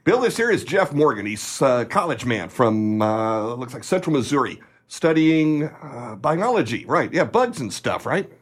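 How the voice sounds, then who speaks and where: An actor playing a gruff, evasive, blustering manager of an ice cream company in a radio play. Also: male voice, mid-range voice, sing-song, normal speed, few pauses. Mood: jovial, blustering.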